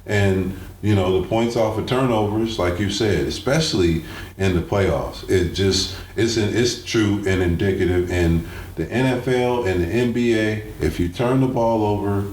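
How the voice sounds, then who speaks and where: Deep-voiced man talking sports, roomy echo. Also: normal speed, a little mellow. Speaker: sports radio host. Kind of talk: sports analysis talk. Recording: roomy echo, clear background noise.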